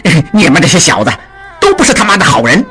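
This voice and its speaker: A man voicing a hot-tempered middle-aged man who shouts and stammers in anger. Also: mid-range voice, wildly swooping pitch, normal speed, husky, exaggerated voice. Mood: angry. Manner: shouting, flustered, swearing.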